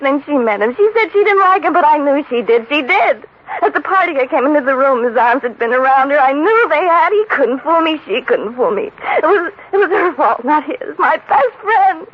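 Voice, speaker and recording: An actress playing a distraught, jealous murderess in 1940s radio style, intense and insistent, repeating her phrases. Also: high-pitched. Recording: dull old radio broadcast recording.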